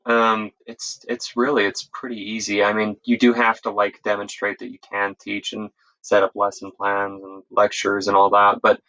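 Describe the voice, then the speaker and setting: A man's voice: on the low side, monotone, normal speed, bright, forceful tone, poor audio. An American man telling his story as an interview guest in a casual, rambling, self-correcting way. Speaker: interview guest, EMS instructor.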